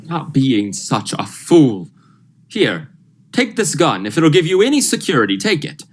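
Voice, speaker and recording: Student playing an urgent doctor, wildly swinging pitch. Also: sing-song, measured pace. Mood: urgent, impatient. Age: young adult. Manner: melodramatic, emphatic, insistent. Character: Doctor Precinct, urgent, commanding, suspicious male doctor. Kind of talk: 1940s radio thriller acting.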